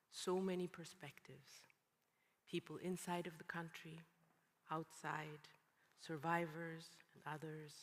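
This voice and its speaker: A woman from Rwanda, a symposium speaker, giving slow, measured, poetic personal testimony. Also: deep voice, a bit bright. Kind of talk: spoken-word personal testimony. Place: large conference hall. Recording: long hall reverb.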